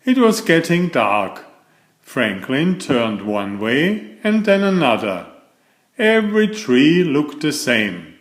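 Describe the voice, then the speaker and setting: Male picture-book narrator, unhurried and animated, expressive bedtime delivery, roomy echoing sound. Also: fairly high pitch. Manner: expressive, deliberate, simple storybook delivery. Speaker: storyteller reading a picture book. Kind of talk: bedtime story read-aloud. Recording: echoey room sound.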